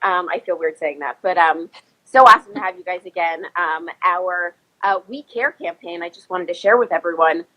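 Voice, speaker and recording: A woman's voice: fairly low pitch, normal speed, bright, forceful tone, rough recording. A woman in her thirties hosting a casual live-stream interview over a video call, slightly sniffly with a cold and chatty with many ums and restarts. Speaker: host of an Instagram live chat. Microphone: phone or laptop, video call.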